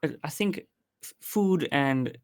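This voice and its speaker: A man's voice: on the high side, expressive, slow pace. A man working as an audio editor, answering interview questions in a plain, matter-of-fact way with some fillers.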